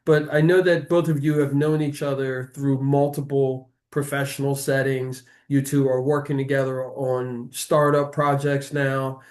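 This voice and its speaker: A man's voice: mid-range voice, level tone, a little slow, hardly any pauses, a little mellow. Conversational male interview host.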